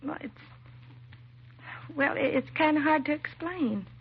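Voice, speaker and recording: An American actress playing a timid, self-doubting young secretary in a 1950s radio drama, hesitant and apologetic. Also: female voice, sits high, measured pace. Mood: self-deprecating, nervous. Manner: hesitant, nervous, apologetic, trailing and restarting. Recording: muffled vintage radio recording, faint hiss.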